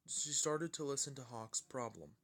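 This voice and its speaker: A man reads a story aloud in a bright, mid-pitched voice. His delivery is amateur and halting, and he often stumbles and goes back over phrases. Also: animated. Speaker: fan fiction reader. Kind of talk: narrated story reading, amateur.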